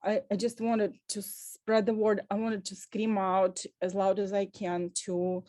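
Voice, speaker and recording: Halting woman. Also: medium pitch, little pitch movement, warm tone, dry recording, clean studio sound. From Russia. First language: Russian. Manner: earnest and halting, with many pauses. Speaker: panelist. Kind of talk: panel talk on a video call. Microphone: video call.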